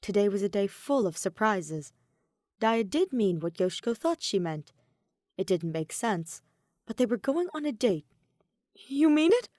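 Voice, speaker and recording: A woman narrates scripted fiction in a middle-pitched voice. Her intonation moves around in a lively, expressive way. The recording is dry, with very little room sound. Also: moderate pace, no echo. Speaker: audio fan fiction narrator.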